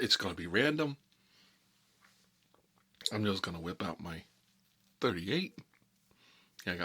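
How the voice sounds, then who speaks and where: Man playing a husky, hot-headed mob boss. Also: sits low, pushed and bright, full character voice. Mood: menacing, simmering. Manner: rambling, repetitive, streetwise slangy grumbling. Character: talkative hot-headed male mob boss being questioned by feds. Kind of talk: improvised ASMR comedy roleplay monologue.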